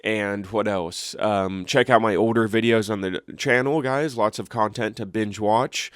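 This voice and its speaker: A man's voice: fairly low pitch, fairly even intonation, measured pace, smooth, no room sound, clean studio sound. A congested man hosting his own channel, reading news articles aloud and then chatting casually with fillers and restarts. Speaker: channel host.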